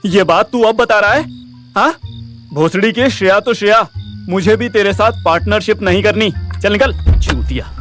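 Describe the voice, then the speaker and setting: Man acting as brash young male sidekick, high voice, Indian accent, slangy, complaining, audio drama, faint music. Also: normal speed, fairly bright tone. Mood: indignant. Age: young adult. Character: brash, hot-headed young male sidekick, easily affronted.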